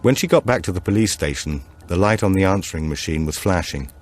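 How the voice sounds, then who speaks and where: A man with a deep voice narrates a suspense thriller audiobook. His reading is scripted and measured, with deliberate phrasing. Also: lively intonation.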